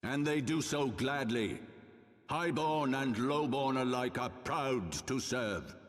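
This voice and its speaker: An actor playing a coldly assured elderly archbishop in a game cutscene, speaking solemn, commanding rhetoric. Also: male voice, mid-range voice, takes it slowly, lightly acted. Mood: proud, challenging. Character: imperious, coldly assured elderly archbishop, father of a rebel son. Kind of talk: dramatic video game cutscene acting. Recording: long cavernous reverb.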